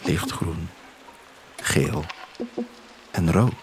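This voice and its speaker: A man narrating a literary first-person audio drama as its author, in an expressive, poetic storytelling manner. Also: rumbling low, very slow, slight background noise.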